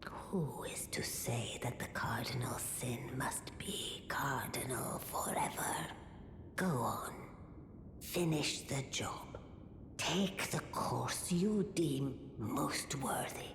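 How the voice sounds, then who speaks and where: An actress playing an ancient, grave and cryptic oracle crone in a fantasy game, with a breathy aged voice and a portentous, musing delivery. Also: female voice, lively intonation, slow, whispered, exaggerated voice. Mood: musing, conspiratorial. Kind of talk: theatrical fantasy game acting. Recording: echoey hall, loud rumbling background noise.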